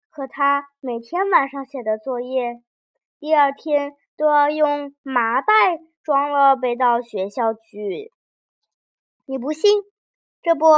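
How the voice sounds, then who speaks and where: A woman's voice: high-pitched, no room sound, dull audio. A woman narrating a children's fairy tale expressively, engaging young listeners as she goes. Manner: animated, addresses young listeners with questions. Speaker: children's storyteller.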